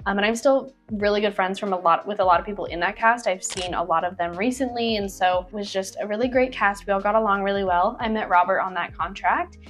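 A young woman in her twenties talks in an easy, chatty Q&A style, reading each question aloud and answering it loosely with plenty of fillers. Her voice sits at an ordinary middle pitch. It is close and clear on a clip-on mic in a small bedroom, with light music faint underneath. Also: fast talker, few pauses, fairly bright tone. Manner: chatty, reads questions aloud then answers freely, frequent "like" and laughs. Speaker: cruise ship performer and vlogger. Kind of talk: casual Q&A to camera. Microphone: clip-on lapel mic.

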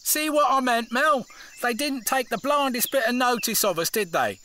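A man's voice: very high voice, animated, moderate pace, sharp tone, a little hiss or hum, exaggerated voice. An actor playing a cynical, scheming British tradesman in an audio drama, blunt, dismissive and conspiratorial. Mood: smug reassurance. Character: cynical, scheming tradesman with a grudge against the countryside.

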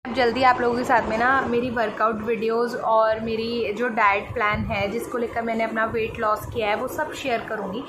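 A woman's voice: a little high, normal speed, few pauses. A woman in her thirties chatting casually in a daily vlog, filmed on a phone at arm's length in a car and at home, rambling along and coaxing her son through homework. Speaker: vlogger and mother. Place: in a car, then at home indoors. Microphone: phone held at arm's length. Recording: phone vlog with steady background noise.

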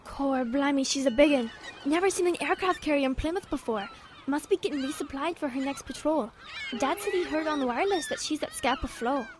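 An eager English schoolboy , acted by a woman, with a high-pitched voice in an audio drama. He is curious and boyish, and there is clear noise with small clicks behind him. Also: a little fast, close to an everyday voice. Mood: excited. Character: eager, curious British schoolboy named Nigel. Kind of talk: radio drama acting.

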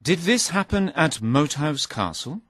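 Brave honest young man, earnest and polite, lively lift; male narrator voices him. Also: a little high, measured pace, dry recording, studio quality, pitched above the performer's own voice, exaggerated voice.

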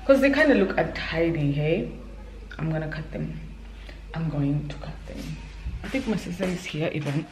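A woman's voice: low voice, lively intonation, moderate pace, a little hiss or hum, low-quality recording. A woman, chatting informally to camera and thinking aloud at home. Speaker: vlogger. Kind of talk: casual chat to camera. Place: indoors, bedroom and bathroom at home.

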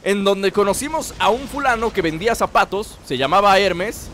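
A young man with a Mexican accent, stuffy from a cold, giving playful, jokey commentary over a video game he is playing, breaking into excited shouts. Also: high voice, normal speed, slight background noise. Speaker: gameplay commentator. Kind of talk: casual let's-play commentary. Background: game music.

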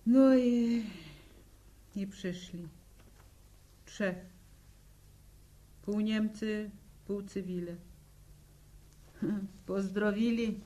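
An actress playing a weary peasant widow with a rural Polish accent, telling her story haltingly in a 1960s radio drama. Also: medium pitch, dark tone. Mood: grave recollection. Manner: slow, halting storytelling in plain folk speech. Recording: old 1960 radio drama recording.

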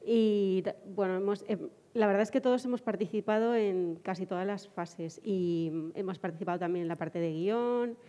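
A woman with a mellow voice of average pitch chats through a self-introduction on a panel. Hall reverb surrounds her. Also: normal speed, hardly any pauses. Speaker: panelist, performer. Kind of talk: informal self-introduction at a talk. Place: small theatre stage, panel table.

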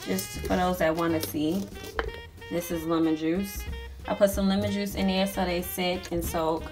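A woman's voice: fairly low pitch, flat delivery, moderate pace. Chatty young woman cooking at home. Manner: chatty, addresses viewers as y'all. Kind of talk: casual cooking vlog narration. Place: home kitchen. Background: music and food sizzling.

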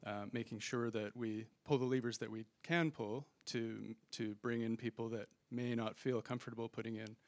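A man's voice: mid-range voice, fairly even intonation, moderate pace, hardly any pauses, no echo. A man on a conference panel, one of its organizers, speaking thoughtfully and hedging into a handheld microphone. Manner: thoughtful, hedging, frequent fillers and restarts. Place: conference room stage.

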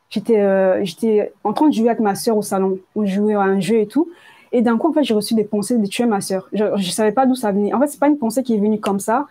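Young woman, about 19, mid-pitched and softly dark in tone, telling her own story informally and haltingly. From Congo, moved to France at age nine. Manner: hesitant, repeats words, colloquial youth phrasing. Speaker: person giving a testimony. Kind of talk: personal testimony, informal spoken storytelling.

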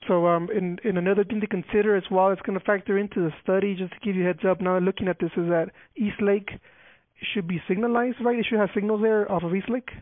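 A man with a high voice explains official procedure over the phone. He halts often with fillers and restarts. The line is tinny and thin, with a dry, close sound. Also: fairly even intonation, fast, dry recording. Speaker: transportation department official. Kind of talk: phone call.

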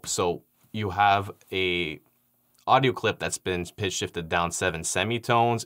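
A young man with a deep, low voice walks through an audio software tutorial in an easy, explanatory way. He talks into a studio microphone in a dark studio and drops in plenty of "um"s and "you know"s. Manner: relaxed explanatory, frequent fillers. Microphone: studio microphone on stand.

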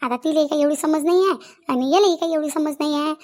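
A woman's voice: sits high, fast talker, a little mellow, close to an everyday voice. A woman playing insistent, talkative village women in a comedy cartoon, with a rural Vidarbha Maharashtrian accent and a habit of repeating phrases. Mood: placating. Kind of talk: Marathi comedy cartoon dialogue.

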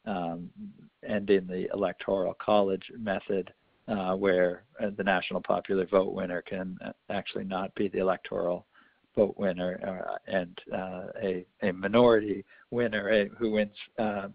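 A man with a fairly low voice speaks over a narrow telephone line. He hosts a group call, explaining haltingly and doubling back on his words. The sound is dry, with no room to it. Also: dry recording. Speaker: host of a national activist conference call. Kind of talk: hesitant explanatory talk on a conference call.

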